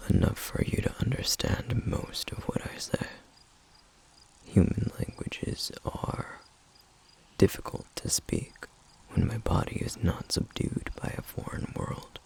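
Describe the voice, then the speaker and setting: A man playing a protective, duty-bound flame demon in an ASMR roleplay, speaking in a hushed, gravelly, halting and intense way. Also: fairly low pitch, exaggerated voice. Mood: careful, explaining. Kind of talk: ASMR roleplay, scripted character acting.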